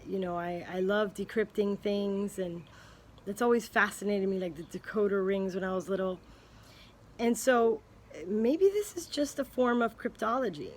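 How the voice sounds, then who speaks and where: A woman talking slowly and unhurriedly to her camera, thinking aloud and often pausing to restart a thought. Her pitch sits in an ordinary middle range, and her tone is earnest and speculative, like a casual chat with a friend. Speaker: vlogger. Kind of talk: casual spoken musing to camera.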